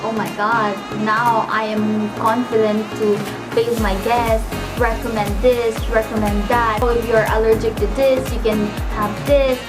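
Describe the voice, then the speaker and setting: A woman's voice: a little high, little pitch movement, unhurried. A young woman with a Filipino accent, telling her own work story in an earnest, chatty way, full of fillers. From Philippines. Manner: earnest, chatty, many fillers like "you know" and "like". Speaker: vlogger. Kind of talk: casual personal storytelling. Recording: music playing underneath.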